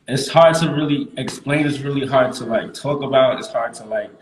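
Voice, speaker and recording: A man's voice: mid-range voice, normal speed, echoey room, a little hiss or hum, poor audio. A man from Queens, a guest artist talking casually and emphatically, repeating himself and using street slang. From Queens, New York. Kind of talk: casual interview chat.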